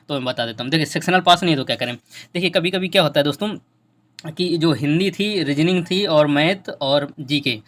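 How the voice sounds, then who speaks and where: Fast-talking young man explaining. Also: fairly high pitch, fairly bright tone. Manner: repeats phrases for emphasis. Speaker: exam preparation YouTuber. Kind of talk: informal explainer addressed to exam candidates.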